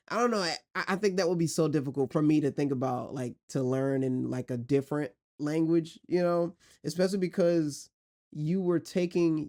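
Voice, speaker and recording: Gravelly-voiced man hosting a podcast. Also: medium pitch, warm tone. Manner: rambling, friendly, many fillers. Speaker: podcast host. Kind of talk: casual interview chat.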